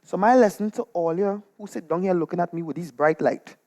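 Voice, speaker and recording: A Trinidadian man performs a comic monologue live on stage. His delivery is animated and dramatic, slipping into dialect as he shares scandal like a neighbourhood gossip. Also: high-pitched, fairly quick. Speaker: storytelling performer.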